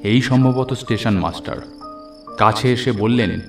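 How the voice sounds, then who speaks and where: A man's voice: mid-range voice, unhurried, far from the mic. A man giving scripted first-person narration of a horror story. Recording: echoey, off the mic, music behind.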